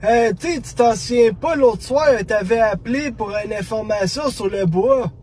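A pushy, childlike prank caller with a Quebec French accent. The voice is extremely high-pitched and raspy. He whines and rambles through his phone call with dim, familiar persistence. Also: moderate pace, a little mellow. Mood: hesitant, rambling. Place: inside a parked car.

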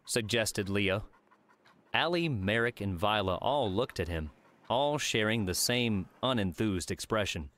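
A man's voice: fairly low pitch, animated, unhurried, fairly bright tone. A man reading a work of fiction aloud as scripted audiobook narration.

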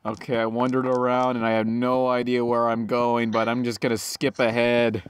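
A man's voice: medium pitch, completely flat delivery, normal speed. A man giving casual, sarcastic, sweary let's play commentary, joking and reading game text aloud. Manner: sarcastic, profane. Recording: clear background noise.

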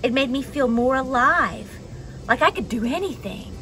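A young adult woman reading a children's picture book aloud expressively, with dramatic pauses and emphasis. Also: high voice, expressive, noisy background. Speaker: storybook reader for a children's church message. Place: outdoors on a wooden deck among trees.

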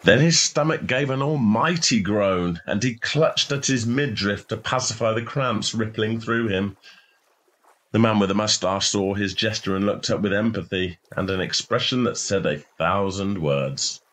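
A man's voice: fairly low pitch, normal speed, a bit bright. A man reading a short story in a scripted narration style.

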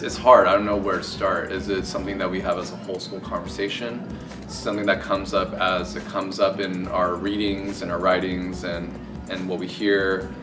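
A man in his thirties in an empty classroom, answering haltingly in an interview. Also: deep voice, recorded from a distance. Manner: hesitant, searching for words.

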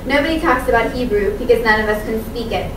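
A woman reads a text aloud at a seminar table in a measured, careful way, stumbling now and then. Her voice sits a little high. She is picked up from a distance, with the room echoing around her. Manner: measured, careful reading with small restarts. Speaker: conference speaker reading excerpts. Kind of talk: reading a translated text aloud. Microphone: far room mic. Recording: echoey room, far from the mic, background noise.

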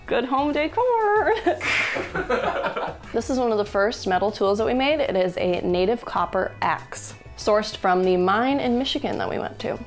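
A woman in her thirties walks through a pile of homemade items, playful and quick to laugh. Her pitch swings widely from line to line. Soft background music sits under her voice in an indoor studio. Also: animated. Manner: playful, jokey, laughs often. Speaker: host. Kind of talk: casual show-and-tell of items. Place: indoor studio with a blue wall.

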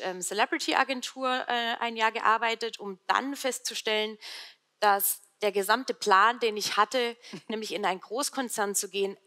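A middle-aged woman speaks as a guest on a stage panel. Her voice is medium in pitch with a crisp, bright edge and a slight Swabian accent. The delivery is conversational, picked up by a headset microphone. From Stuttgart area, southern Germany. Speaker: panel guest, company founder. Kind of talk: conversational panel answer about career. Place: indoor event stage.

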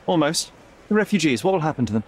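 An actor playing a young detective in a video game, measured, dry and probing. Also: male voice, a little high, big pitch swings, breathy edge. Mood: stern. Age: young adult. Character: cool, wry young male detective. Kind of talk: video game cutscene acting.

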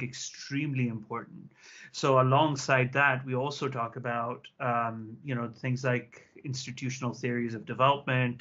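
A man's voice: mid-range voice, a bit bright. A man, an economics lecturer, speaking candidly with many fillers in a panel discussion on a video call. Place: small room indoors. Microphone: video call with earphone mic. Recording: slightly dull video-call audio.

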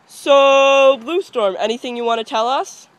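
A woman's voice: sits high, a little slow, low-quality recording. A young girl hosting a home video with toy animals, announcing events in a playful way. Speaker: host of a toy animal show.

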